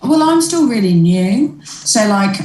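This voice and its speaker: A woman's voice: mid-range voice, sing-song, slow pace, darkish tone, far from the mic. A woman in her thirties with an English accent, a comedian talking casually in an interview, with many fillers and restarts. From Australia. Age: 30s. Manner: hesitant, lots of fillers and restarts, laughs often. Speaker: stand-up comedian, guest. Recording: roomy, from off the mic.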